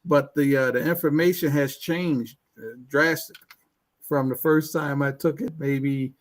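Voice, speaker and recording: Stammering man, slightly raised pitch. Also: steady tone, measured pace. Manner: stammering, many restarts. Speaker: guest IT professional. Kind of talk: casual online chat.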